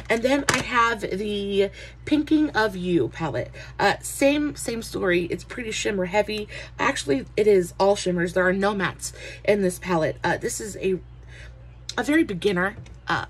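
A woman's voice: medium pitch, normal speed. Woman, young adult, casual beauty vlogger; stuffy nasal voice, chatty with fillers, faint background noise. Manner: chatty, many "uh" fillers, friendly greetings. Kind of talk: casual makeup review.

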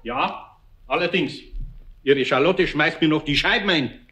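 Older Bavarian craftsman, good-natured grumbler, colloquial, bright forceful tone, children's radio play. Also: on the high side, lively intonation, unhurried, vocal fry, husky, full character voice. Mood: angry. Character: grumpy but good-natured older male master craftsman.

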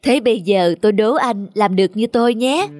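A man's voice: sits high, a little fast, a little mellow, soft background music, lightly acted. A man voicing a tall, boastful, teasing cartoon giraffe. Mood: smug. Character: smug, condescending tall male giraffe. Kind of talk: cartoon voice acting.

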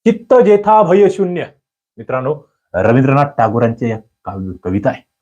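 A man giving a quiz-style exam-prep lecture, firing questions and demanding quick answers from his students. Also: big pitch swings, darkish tone. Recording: muffled low-fidelity recording.

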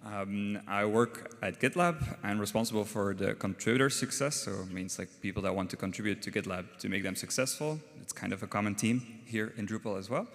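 A man from Belgium introducing himself at a meeting, measured with some fillers. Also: lowish voice, gravelly. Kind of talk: self-introduction. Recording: long hall reverb.

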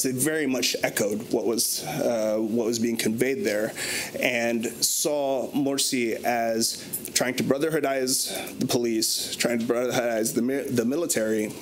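A man's voice: medium pitch, slight background noise, poor audio. A man in his thirties speaking as a panelist at a conference. He talks thoughtfully with many restarts and fillers, recorded on a lapel mic in a conference room. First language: English. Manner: thoughtful, gestures a lot, frequent restarts and fillers. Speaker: panelist speaking on a research paper. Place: conference room in front of a banner.

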